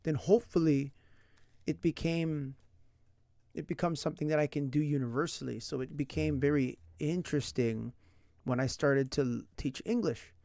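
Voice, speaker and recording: A man's voice: fairly high pitch, normal speed, gravelly, a little mellow, dry recording. A young man from San Diego, United States, streaming casually about language learning, rambling with lots of "like" and "right?". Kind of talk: casual livestream chat. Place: indoors at night.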